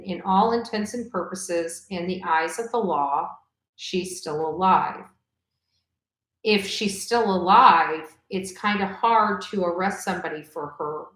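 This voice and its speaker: A woman's voice: mid-range voice, sharp tone. A woman hosting a casual livestream commentary, speaking emphatically and repeating phrases for emphasis. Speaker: livestream host. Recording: echoey room.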